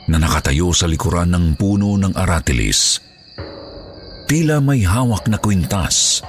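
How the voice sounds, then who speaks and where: A man with a Filipino accent reading a scripted horror story as narrator. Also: sits low. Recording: background music under the voice.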